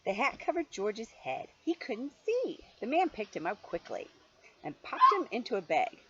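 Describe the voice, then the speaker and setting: A mature woman reading a picture book aloud to a child in an animated, playful way, with chatty asides. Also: fairly high pitch, moderate pace. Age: middle-aged or older. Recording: dull lo-fi home recording, faint noise.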